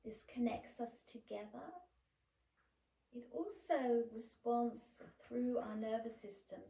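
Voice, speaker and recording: A woman leads a slow yoga relaxation in a soft-toned, mellow voice. She sounds a little way from the microphone in an echoey room. Her delivery is soothing and unhurried, with space between phrases. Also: on the high side, steady tone. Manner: slow, soothing, with pauses and breathy sighs. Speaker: yoga teacher. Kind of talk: guided yoga relaxation instruction. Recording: echoey room, distant mic, faint background noise.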